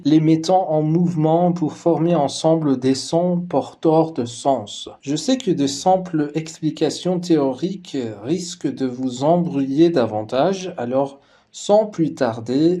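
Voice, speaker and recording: A man whose first language is Persian, calmly narrating a scripted lesson as the teacher. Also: fairly high pitch.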